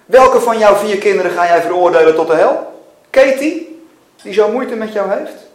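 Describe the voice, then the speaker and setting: A man's voice: high voice, sing-song, moderate pace, creaky, a little mellow, voice pushed up, full character voice. A man reading the part of God from a novel, a probing and insistent questioner who can turn tender. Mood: insistent, challenging. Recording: echoey room with faint noise.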